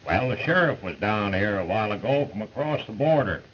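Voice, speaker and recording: A man's voice: fairly low pitch, animated, brisk, lo-fi sound, slight background noise, natural voice. An actor playing a joking, folksy frontier man with a wry, watchful manner, on an old film soundtrack.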